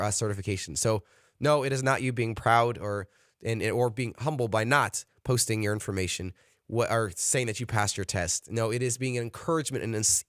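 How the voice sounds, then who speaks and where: A man's voice: mid-range voice, no room sound, studio quality. A man leading an online study session, earnest and encouraging, with frequent fillers. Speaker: study group leader.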